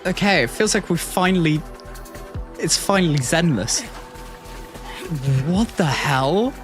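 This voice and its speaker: A man doing casual livestream commentary, reacting and ranking in a halting, think-aloud way. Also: high voice, expressive. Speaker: streamer reacting to and ranking game trailers. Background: music.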